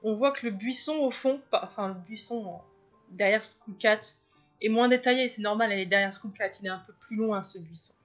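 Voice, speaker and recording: A chatty woman talks through her drawing in a loose, rambling way, often drifting into asides. Her voice sits at an ordinary middle pitch. The sound is a bit dull and lo-fi, with faint music underneath. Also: a little fast. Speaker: illustrator and video host. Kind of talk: casual drawing commentary.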